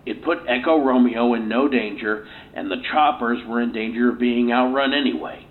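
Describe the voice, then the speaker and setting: An actor playing a proud, defensive research scientist answering questions in a curt, self-assured manner in an audio play. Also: male voice, medium pitch, steady tone, normal speed, lightly acted. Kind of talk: audio play interview acting. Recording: tinny phone-like recording, faint noise.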